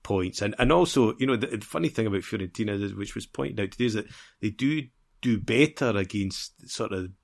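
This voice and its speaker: A man's voice: lowish voice, lively intonation, brisk, gravelly. A man co-hosting a football podcast, chatting casually in a rambling, wry, teasing way and often repeating phrases as he builds a point. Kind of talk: casual sports chat.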